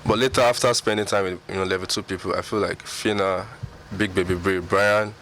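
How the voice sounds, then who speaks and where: A man's voice: low voice, fairly even intonation, a little fast, fairly bright tone, slight background noise. A man speaking as a radio interview guest, laid-back and loose, his sentences full of fillers. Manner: laid-back, many "like" and "you know" fillers. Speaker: reality show ex-contestant guest. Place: radio studio.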